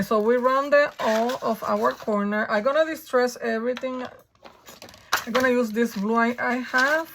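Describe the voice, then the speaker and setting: Raspy woman with a gravelly, flu-worn voice. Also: fairly high pitch, a bit bright, slight background noise. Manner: chatty, thinking aloud while working, frequent ums. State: coming down with the flu, hoarse. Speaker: craft tutorial host. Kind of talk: casual step-by-step crafting tutorial.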